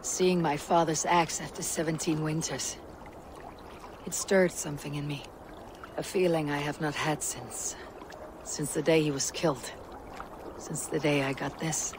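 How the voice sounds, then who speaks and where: Young viking hero from a game, high voice, terse n brooding, water noise behind. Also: male voice, steady tone, unhurried, pauses often. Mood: troubled. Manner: terse, formal, archaic phrasing. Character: bold, brooding young Viking warrior, male. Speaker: video game protagonist. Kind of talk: dramatic game cutscene acting.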